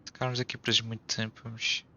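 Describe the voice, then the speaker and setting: A man's voice: lowish voice, monotone, very fast, sharp tone, highs cut off, slight background noise. A man with a European Portuguese accent giving casual, offhand gameplay commentary as a streamer. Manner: offhand, mixes English interjections with Portuguese. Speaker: game streamer.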